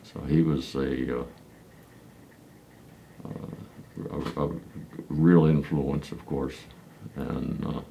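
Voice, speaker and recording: A man in his nineties from Pennsylvania, recalling his church childhood in slow, halting answers full of hesitant fillers. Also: rumbling low, fairly even intonation, gravelly, mellow, slight background noise. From Pennsylvania, United States. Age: 90s. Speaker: elder congregant interviewee. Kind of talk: reminiscing interview answers.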